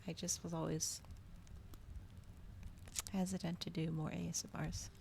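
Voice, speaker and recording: A woman speaks softly and gently in a slightly low, creaky voice that drifts toward whispering. She hosts a casual ASMR livestream, talking a little hesitantly to her viewers. A fireplace can be heard as background noise behind her. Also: flat delivery, a little slow. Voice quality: soft, gentle voice, often slipping into whisper. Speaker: streamer hosting a live reading. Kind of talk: soft-spoken ASMR livestream chat. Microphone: regular microphone, not ASMR-specific. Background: crackling fireplace ambience.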